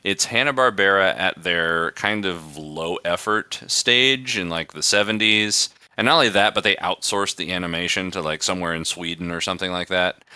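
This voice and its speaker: A man with a very low voice and a strikingly bright, forceful edge hosts a casual podcast. He talks in a loose, rambling way, and every word comes through crisp and close in a dry recording. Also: normal speed, few pauses, no echo. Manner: rambling, conversational. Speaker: podcast host. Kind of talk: casual chat.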